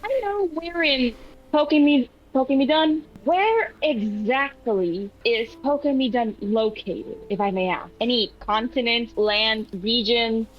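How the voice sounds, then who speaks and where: A woman's voice: a little high, measured pace. A woman playing a tabletop roleplaying game, improvising casually as a player, with a dull, muffled sound.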